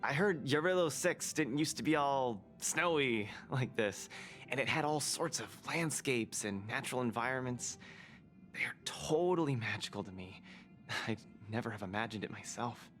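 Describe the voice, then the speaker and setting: A man's voice: mid-range voice, expressive, normal speed, fairly bright tone, close to an everyday voice. A man voicing an earnest, friendly young fighter in a video game, full of wide-eyed wonder. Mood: wistful, friendly. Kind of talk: video game voice acting, conversational dialogue. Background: music.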